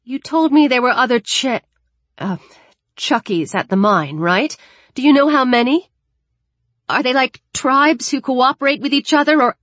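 A woman voicing a caring, persistent teenage girl who speaks in a gentle, earnest, coaxing way in an audiobook story. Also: high voice, moderate pace, dry recording, pitched above the performer's own voice. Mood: probing.

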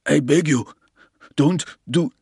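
A man's voice: medium pitch, unhurried, slightly rough, no echo, studio quality. A man voicing a huge, polite hotel porter who speaks in a formal, pleading way.

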